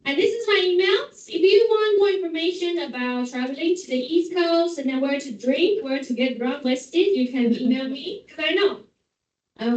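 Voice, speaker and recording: A high-pitched Taiwanese woman gives a chatty, joking classroom talk in simple English. Her voice rings off the room and sounds far from the mic. The sound is a little dull. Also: darkish tone, reverberant. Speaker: guest speaker.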